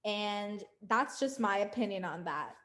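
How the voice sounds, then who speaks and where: A woman's voice: medium pitch, animated, measured pace, a bit bright. A young American woman co-hosting a podcast, talking in an earnest, emphatic way and building up her points. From United States. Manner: earnest, emphatic, lists points with rising force. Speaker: podcast co-host. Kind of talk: casual podcast discussion.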